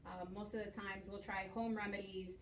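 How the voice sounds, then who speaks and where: A woman's voice: medium pitch, flat delivery, moderate pace. A young woman from Miami leads a class presentation conversation, hesitant with many fillers. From Miami, United States. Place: skills lab room. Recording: roomy echo, mic at a distance, faint noise.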